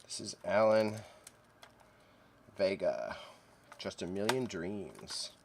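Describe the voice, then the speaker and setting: A man with a fairly low voice talks casually to himself on a livestream. He speaks in short, offhand phrases and reads item details out loud as he works. There is clear background noise, with typing and clicking. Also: very animated, slow. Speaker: streamer, antique reseller. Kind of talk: casual livestream work narration, muttering to self. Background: typing and computer clicks.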